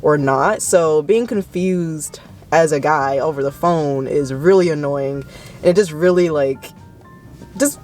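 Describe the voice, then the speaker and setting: A woman in her twenties talks in a low voice that is prone to cracking. She sounds like she is chatting with a close friend, relaxed in style and poking fun at herself. Soft music plays behind her. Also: measured pace. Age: 20s. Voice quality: deep voice that cracks. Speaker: vlog co-host and call center worker. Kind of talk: casual chat with a friend.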